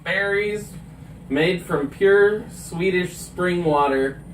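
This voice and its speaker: A man's voice: high voice, takes it slowly, fairly bright tone, a little hiss or hum. A man co-hosting a podcast in casual chat, reading out beer labels and laughing easily at crude jokes. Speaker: podcast co-host. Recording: echoey room, mic at a distance.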